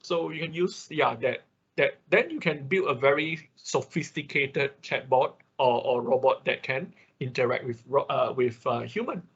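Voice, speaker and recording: A man giving an informal technical lesson online, explaining haltingly, repeating himself and tagging phrases with quick check-ins. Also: mid-range voice, husky. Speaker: workshop instructor. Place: online class over a computer. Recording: dull lo-fi online call audio.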